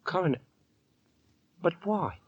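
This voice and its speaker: Husky, bashful young suitor. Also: male voice, sits high, animated, slow pace, muffled recording, heavily acted. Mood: puzzled, obliging. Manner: hesitant, folksy, deferential. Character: bashful, earnest young working-class suitor, good-natured. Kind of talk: old-time radio drama acting.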